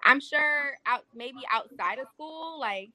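Bright, higher-voiced young-sounding woman. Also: lively intonation, rough recording. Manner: halting, many "like" fillers. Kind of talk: casual group chat.

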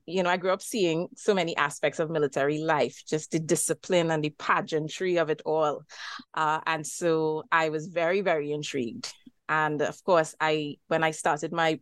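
A woman's voice: deep voice, dry recording. A woman with a Trinidadian accent, a historian talking about her book in an interview, thoughtful with frequent fillers and restarts. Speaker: historian and co-editor guest. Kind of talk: academic interview.

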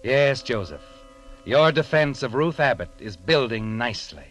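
An actor narrating an old-time radio courtroom drama in the second person, with a suspenseful, deliberate manner. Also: male voice, mid-range voice, animated, fairly bright tone, natural voice. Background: music.

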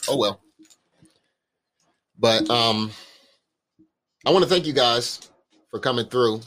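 A man's voice: mid-range voice, slow, fairly bright tone, a little hiss or hum. A man in his late twenties co-hosting a casual chat, laid-back and joking, full of slang. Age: 20s. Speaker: podcast co-host.

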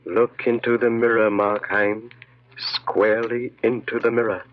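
A man's voice: mid-range voice, little pitch movement, measured pace, no echo, close to an everyday voice. An actor playing a commanding, mocking evil genius that speaks within a man's mind, in 1940s radio horror style. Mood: insinuating. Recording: muffled old radio recording.

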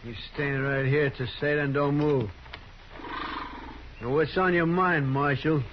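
Male actor as menacing frontier outlaw, raised pitch, taunting; muffled vintage radio drama. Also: fairly even intonation, unhurried, close to an everyday voice. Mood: threatening. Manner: threatening, taunting. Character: menacing, cowardly outlaw holding a young woman. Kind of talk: 1950s radio drama acting. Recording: muffled, noisy 1950s radio recording.

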